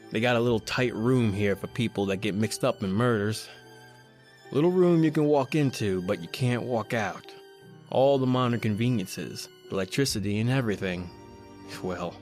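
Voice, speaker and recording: A man's voice: lowish voice, lightly acted. A man acting a desperate young criminal who tells his own story in a horror radio play. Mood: rueful, resigned.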